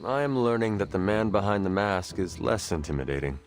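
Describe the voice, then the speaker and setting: A stoic supernatural warrior, speaks in a lowish voice over prominent game music. The lines come out formal and measured, with dry curiosity. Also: male voice, expressive. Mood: curious, reassuring. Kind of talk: video game dialogue acting.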